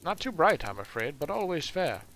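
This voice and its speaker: A man's voice: on the high side, sing-song, moderate pace, fairly bright tone, slight background noise, voice pushed up, rough recording. A man doing a sly, wry little thief. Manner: dry, mocking, wry.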